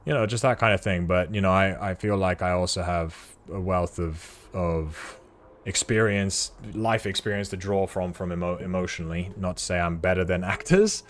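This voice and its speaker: A man's voice: deep voice, steady tone, normal speed. A British man streaming a space game, rambling chattily to his chat at a desk mic. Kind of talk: casual livestream chat while playing.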